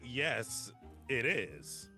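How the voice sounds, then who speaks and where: A man's voice: medium pitch, big pitch swings, very slow, bright, forceful tone, faint music behind, exaggerated voice. A man acting a hard-ass, grumpy department store manager at a table read of a comedy script, curt, bossy and exasperated. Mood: flat, irritated.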